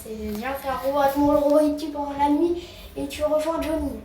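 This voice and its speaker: A woman's voice: high voice, fairly even intonation, fast, warm tone, a little hiss or hum. A woman giving casual, blunt and mocking reaction commentary in slang as she hosts a video. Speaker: video host reacting to clips. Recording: roomy, distant mic, music behind.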